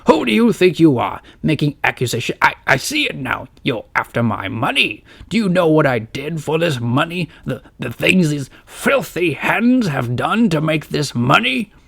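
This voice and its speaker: A man playing an eccentric, pompous old rich man who talks slowly in an old-fashioned, theatrical way. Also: mid-range voice, sing-song, gravelly, breathy edge, dry recording, full character voice.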